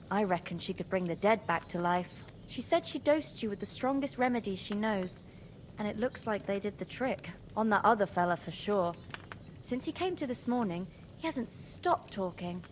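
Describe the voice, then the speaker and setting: A woman's voice: mid-range voice, a little hiss or hum, close to an everyday voice. A woman playing a kind, folksy village maid. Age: young adult. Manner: folksy rustic speech, down-to-earth. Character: young village woman, homely, kind and practical. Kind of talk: video game cutscene dialogue.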